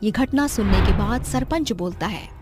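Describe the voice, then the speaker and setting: A woman's voice: mid-range voice, lively intonation, moderate pace. A woman reading a scripted story as its narrator, with music behind her. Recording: loud music under the voice. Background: background music.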